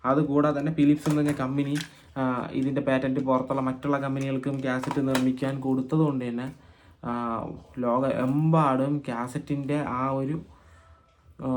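A man from Kerala hosting an informal explainer vlog, speaking haltingly and often repeating phrases as he thinks aloud. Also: medium pitch, a little mellow, a little hiss or hum. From Kerala, India. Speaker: video host and cassette collector.

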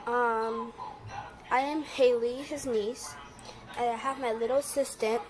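A woman's voice: on the high side, steady tone, a little slow, faint music behind. A teenage girl giving a casual, hesitant cooking demo in a home kitchen, filmed on a phone. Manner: chatty, hesitant with fillers. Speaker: guest cook. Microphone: handheld phone camera. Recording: noisy phone recording.

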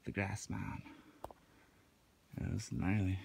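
A man's voice: low-pitched, fairly even intonation, slow, vocal fry, background noise. A man casually rambling in slang as he hikes through the woods, filming on a handheld phone. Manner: laid-back, slangy, offhand. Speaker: vlogger. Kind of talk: rambling casual commentary while hiking. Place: wooded hillside outdoors. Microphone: handheld phone camera while walking.

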